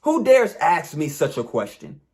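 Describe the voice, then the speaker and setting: A man's voice: on the high side, huge pitch swings, a little slow, a little mellow. A man voicing a farmer's proud, indignant wife in a folktale, speaking emphatically and haughtily.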